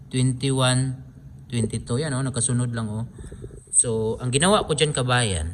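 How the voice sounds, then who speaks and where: Filipino man explaining, with background noise. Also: mid-range voice. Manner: repetitive, step-by-step explaining with frequent pointing phrases. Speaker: DIY electronics tutorial host. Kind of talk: informal hands-on wiring demonstration.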